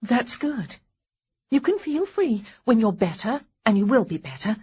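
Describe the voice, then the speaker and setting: Woman doing a breathy, flustered young woman. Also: fairly high pitch, big pitch swings, measured pace, a little mellow, lo-fi sound, pitched above the performer's own voice, exaggerated voice. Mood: nervous cheer. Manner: fussing, nervous chatter with a tremble. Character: tender, flustered young woman, anxious and hopeful. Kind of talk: audiobook character voice.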